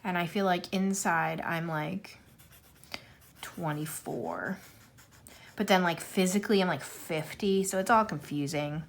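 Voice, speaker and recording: A woman's voice: on the low side, a little slow, gravelly, slight background noise. A woman in her thirties chatting casually to camera, rambling and self-deprecating, with many fillers. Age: 30s. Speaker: YouTuber answering a tag questionnaire. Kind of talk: casual chat while coloring.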